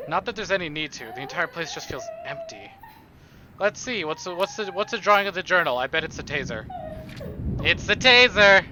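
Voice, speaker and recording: Lively higher-voiced man narrating a game. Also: a little fast, noisy background. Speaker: game streamer. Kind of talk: dramatic read-aloud narration with casual asides.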